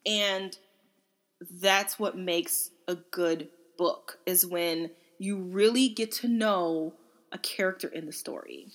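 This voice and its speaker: A woman's voice: on the low side, slow. Woman casually talking through a book. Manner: conversational, acts out quotes informally. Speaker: book reviewer and podcast host. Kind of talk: casual book discussion, recounting and analysis.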